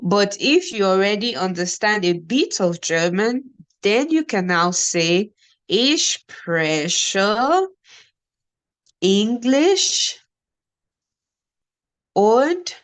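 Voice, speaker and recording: A woman teacher from Nigeria with a Nigerian accent, explaining beginner German phrases and repeating example words slowly one at a time. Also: mid-range voice, sing-song. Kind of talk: slow classroom explanation.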